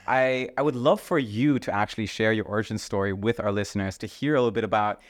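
Brief: sincere male host, lowish voice, dry close mic. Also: no room sound. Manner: earnest, grateful, halting with fillers. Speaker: podcast host. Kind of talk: casual interview.

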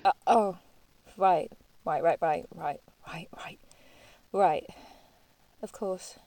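A woman's voice: fairly low pitch, slow, warm tone. A woman with an English accent playing a defiant, sardonic young woman in an audio drama, blunt and dry in manner. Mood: awkward, guarded. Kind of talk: naturalistic audio drama acting.

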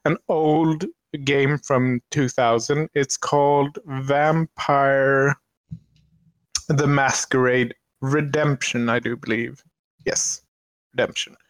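A Swedish man talks at an unhurried pace, and he often stops to search for his words. His voice comes through dry and close, with no room sound at all. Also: mid-range voice, steady tone, no room sound, poor audio. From Sweden. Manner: slow, hesitant, with many ums and restarts. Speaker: streamer and podcast guest. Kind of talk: casual chat.

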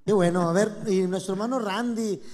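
A man's voice: high voice, brisk, a little mellow, big-room sound. A man hosting a casual live talk show, exclamatory and eager, dropping in religious phrases. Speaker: show host.

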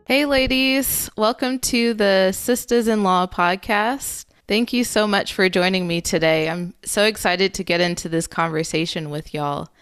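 A woman's voice: medium pitch, unhurried, few pauses, a bit bright, clean tone, soft background music. A young woman hosting a podcast, reading an intro and then welcoming guests in an upbeat way. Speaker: podcast host. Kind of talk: podcast intro and group interview.

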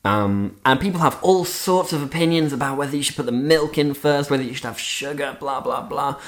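A man with an English accent who grew up in Switzerland, chatting casually as a podcast co-host, animated and often laughing through his restarts. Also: mid-range voice, fairly quick. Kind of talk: casual chat.

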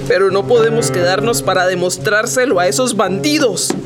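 An actress playing an anguished schoolteacher in a radio drama, speaking in a pressing, dramatic way. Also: female voice, mid-range voice, moderate pace, over loud music, lightly acted. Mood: grave, insistent. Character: anguished, urgent schoolteacher woman warning her friends. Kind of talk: radio theatre acting.

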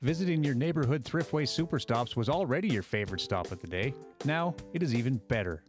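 A man reading scripted radio-style ads in a smooth promotional way, over clear guitar music. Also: mid-range voice, expressive, quick pace. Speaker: ad announcer.

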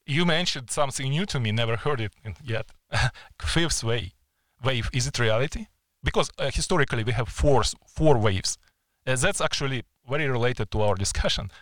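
A man's voice: medium pitch, normal speed, no echo. A man hosting a podcast interview, speaking in careful phrasing. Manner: lively, careful non-native phrasing. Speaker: podcast host.

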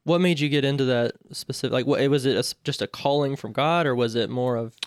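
Man hosting with halting questions. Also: mid-range voice, no room sound, clean studio sound. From Greenville, South Carolina. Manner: friendly, hesitant questions with many fillers. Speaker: podcast host. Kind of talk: casual interview hosting.

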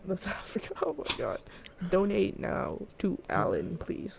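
A man's voice: high-pitched, wildly swooping pitch, gravelly, noisy background. A young man from the Philippines co-hosting a casual chat podcast, playful and teasing. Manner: playful, teasing, quick to laugh. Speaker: podcast co-host.

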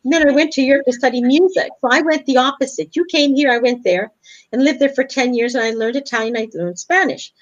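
A woman's voice: high-pitched, fast, muffled recording. A woman from Canada hosting a talk show, chatting casually and upbeat, laughing easily. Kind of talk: casual interview chat.

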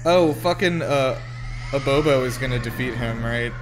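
A man co-hosting a casual movie-reaction chat, excitable and joking, with casual swearing. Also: medium pitch, normal speed. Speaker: podcast co-host.

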